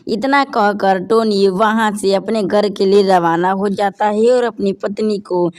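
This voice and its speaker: Low-pitched woman narrating a story. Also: dark tone. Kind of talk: scripted cartoon story narration.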